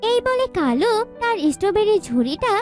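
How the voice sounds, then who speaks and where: A woman's voice: very high voice, very animated, fairly quick, darkish tone, faint music behind. A woman narrating a children's cartoon story in a scripted storytelling style.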